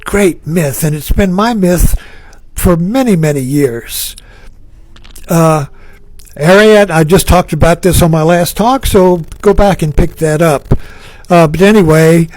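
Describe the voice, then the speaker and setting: A man giving a slow, reflective spiritual talk, musing aloud and repeating phrases for emphasis. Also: high voice, a little mellow, no room sound. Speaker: spiritual speaker. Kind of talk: reflective spoken talk on myth.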